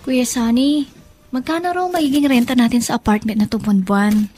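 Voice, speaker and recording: Isang babaeng aktor na gumaganap bilang balisang batang estudyante at nakababatang kapatid sa dulang panradyo. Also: female voice, on the high side, brisk, darkish tone, soft background music, natural voice. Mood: worried. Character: anxious young student, younger sister. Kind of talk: Filipino radio drama acting.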